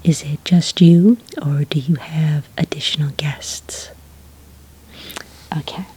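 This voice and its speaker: A friendly witch desk clerk, played by a woman in an ASMR roleplay. Her voice is very breathy and whispered, with a dark, mellow softness. She takes her time, moving slowly through polite, slightly hesitant check-in patter. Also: low voice, fairly even intonation, exaggerated voice. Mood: patiently explaining. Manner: unhurried, polite, hesitant customer-service patter.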